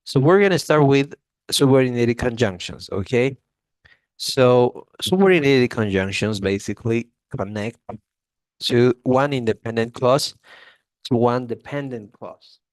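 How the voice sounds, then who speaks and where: A man's voice: medium pitch, lively intonation, unhurried, no room sound, rough recording. A male English teacher giving a classroom grammar lesson, often checking in with "okay?" and restarting phrases.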